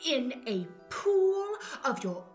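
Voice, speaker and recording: Woman acting a saucy potion witch, high, teasing, faux-archaic, comedy radio play, music behind. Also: sing-song, slow pace, heavily acted. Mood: playful. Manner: teasing, mock-archaic phrasing. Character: Gwyn, sassy female potion tender.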